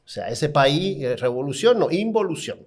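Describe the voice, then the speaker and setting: A man's voice: on the high side, sing-song, moderate pace, a little mellow. A man of about fifty from Cuba, telling his sales career with jokes in a casual podcast chat. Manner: joking, self-mocking storyteller. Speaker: podcast co-host.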